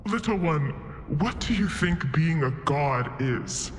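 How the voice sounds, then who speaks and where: A man's voice: a little high, expressive, slight background noise. A man voicing an ancient, godlike celestial creature in a tabletop game, speaking formally and with solemn patience. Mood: solemn questioning. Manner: measured, formal, questioning. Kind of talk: tabletop roleplay acting. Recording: long hall reverb, music behind.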